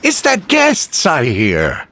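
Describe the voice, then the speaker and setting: A man voicing a gentle, elderly village chief in a video game, speaking with wistful, unhurried kindness. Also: fairly high pitch, huge pitch swings, exaggerated voice. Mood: kindly, grateful. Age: older. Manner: gentle, slow, wistful storytelling. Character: kindly, frail old male village chief, wistful. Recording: music behind the voice.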